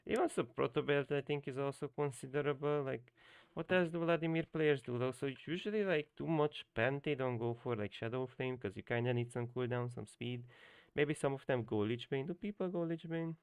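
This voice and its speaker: A man's voice: medium pitch, brisk, smooth, no room sound, poor audio. A young man whose first language is Hungarian, coaching on a live stream in loose, rambling talk full of fillers and restarts. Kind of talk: casual live-stream commentary.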